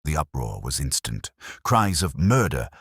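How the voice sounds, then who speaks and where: A man narrating a scripted mystery story in a measured, literary and dramatic way. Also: rumbling low, sing-song, breathy edge, dry recording, studio quality.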